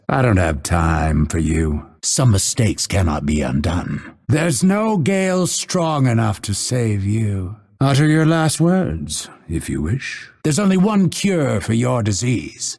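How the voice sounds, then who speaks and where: A proud grim assassin for a video game, husky voice, really swoops around in pitch, theatrical and vengeful. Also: male voice, darkish tone, heavily acted. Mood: disdainful menace. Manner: measured, haughty, menacing, formal diction. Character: unveiled male assassin, cold, proud, grimly vengeful. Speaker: video game character voice actor. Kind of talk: scripted game voice lines, theatrical delivery.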